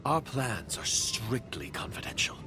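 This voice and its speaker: Game schemer: suspicious, secretive envoy, lowish pitch with big swings in intonation, music behind. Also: male voice, sing-song. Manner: suspicious, measured, insinuating. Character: shady, secretive male envoy, wary and disdainful. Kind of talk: scripted video game voice acting.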